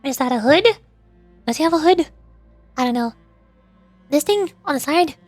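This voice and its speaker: A young woman with a high voice comments casually on a game as a VTuber streamer. She is playful and teasing, rambling as she goes. The sound is close and dry, with music underneath. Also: expressive, moderate pace, dry recording.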